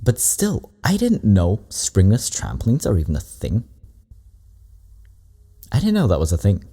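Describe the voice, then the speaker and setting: A man's voice: lowish voice, a little slow, husky. A man hosting a reaction video, giving casual, rambling commentary with asides. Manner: relaxed, rambling asides with laughs.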